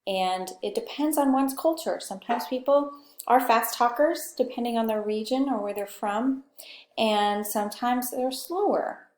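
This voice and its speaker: A soft-spoken woman with a Pacific Northwest accent giving a conversational recorded course lecture, often checking in with "okay". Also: fairly high pitch, measured pace, reverberant. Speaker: instructor.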